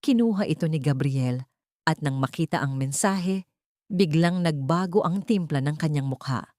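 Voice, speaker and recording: Low-toned Filipino woman storyteller, very dry sound. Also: normal speed, darkish tone, no room sound. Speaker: narrator. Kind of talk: scripted dramatic story narration.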